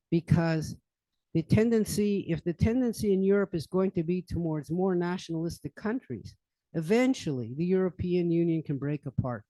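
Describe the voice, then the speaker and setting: An older man speaks in a loose, rambling lecture manner, full of fillers and restarts. His voice sits a little high and sounds mellow. The sound is dry and close. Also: dry recording, low-quality recording. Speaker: lecturer on current events. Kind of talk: informal public library talk. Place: library meeting room.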